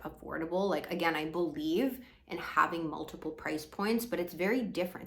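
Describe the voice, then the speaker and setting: A woman's voice: lowish voice, vocal fry, a bit bright. A young woman from Hong Kong, a business coach talking casually to her audience, full of "like" fillers and light laughs. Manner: chatty, many "like" fillers, laughs often. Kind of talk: casual monologue to camera.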